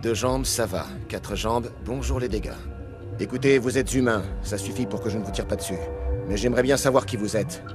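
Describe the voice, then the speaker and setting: An actor playing a weary, gruff security captain in a video game, speaking in a blunt, dry, colloquial way. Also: male voice, mid-range voice, brisk, music in the background, lightly acted. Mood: weary, sardonic. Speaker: guard captain.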